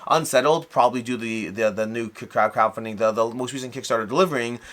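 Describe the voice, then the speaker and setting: Fast-talking man, rambling video host. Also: mid-range voice, a bit bright. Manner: talkative, rambling, often rephrases and qualifies himself. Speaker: host of a board game review channel. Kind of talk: casual unscripted video recap.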